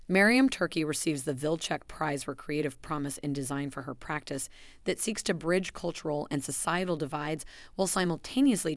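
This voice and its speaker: A woman reading a scripted announcement of award winners in a measured, formal way. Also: sits low, dry recording, clean studio sound. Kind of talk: scripted narration.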